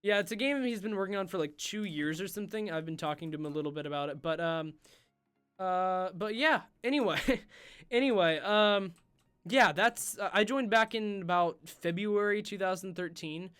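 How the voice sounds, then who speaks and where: High-voiced young man, hoarse and rambling. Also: moderate pace, a bit bright. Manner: rambling, filler-heavy, self-interrupting. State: losing his voice. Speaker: host. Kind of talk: casual livestream chat while gaming.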